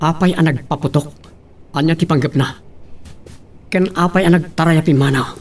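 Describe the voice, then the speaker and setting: A man's voice: on the high side, fairly even intonation, normal speed, close to an everyday voice. A man acting a concerned grown son in an Ilocano audio drama. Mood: concerned, curious. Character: concerned grown son questioning his father.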